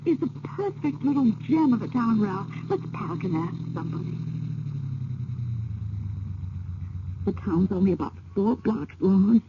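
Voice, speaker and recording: A woman's voice: medium pitch, expressive, moderate pace, noisy background, close to an everyday voice. A woman playing a gracious, effusive city wife in a radio drama. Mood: delighted. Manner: effusive, polite. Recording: dull old radio recording.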